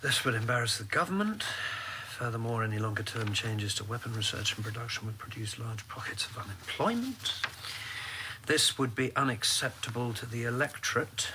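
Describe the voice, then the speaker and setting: A man acting an earnest political insider in a radio drama, speaking in a formal, deliberate way. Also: mid-range voice, animated, background noise, natural voice. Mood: formal, concerned.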